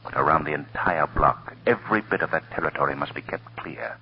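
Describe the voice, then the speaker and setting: A very deep, mysterious unseen crime fighter. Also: male voice, brisk, breathy edge, heavily acted. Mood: commanding, urgent. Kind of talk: 1930s radio drama acting. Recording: muffled old radio recording.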